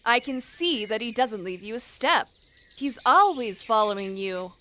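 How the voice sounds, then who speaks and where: A woman's voice: a little high, expressive, unhurried, bright, forceful tone. Woman acting a curt anime woman warrior, noisy backdrop. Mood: composed, serious. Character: composed, stern, scolding woman warrior. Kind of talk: anime fan audio drama acting.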